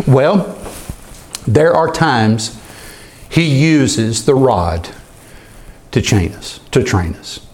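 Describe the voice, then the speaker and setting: A man's voice: mid-range voice, expressive, very slow, long pauses, darkish tone. Man preaching an earnest sermon. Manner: earnest expository preaching, repeats words. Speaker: pastor.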